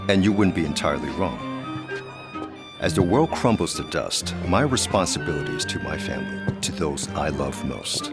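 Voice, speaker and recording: An actor playing a suave, calculating aristocratic gentleman in a gothic audio drama, speaking in courtly, formal phrases. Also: very deep voice, lively intonation, moderate pace, over loud music. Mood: grave, determined.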